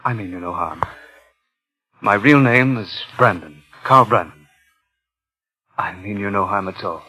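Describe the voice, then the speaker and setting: An actor playing a nervous, obsessive impostor in 1950s radio suspense, with a halting, intense delivery. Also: male voice, lowish voice, bright, forceful tone, husky, exaggerated voice. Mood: pleading, anxious. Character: nervous, obsessive male impostor and would-be thief. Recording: vintage 1950s radio recording, music behind.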